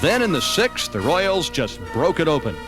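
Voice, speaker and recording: A man's voice: a little high, sing-song, moderate pace. An American man reading scripted narration for a baseball season recap. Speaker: documentary narrator. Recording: music under the voice. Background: background music.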